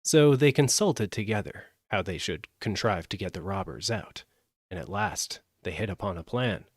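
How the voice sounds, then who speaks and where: A man narrating a fairytale as a live audiobook, with an expressive, measured delivery. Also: on the low side, husky, no room sound, clean studio sound. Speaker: storyteller.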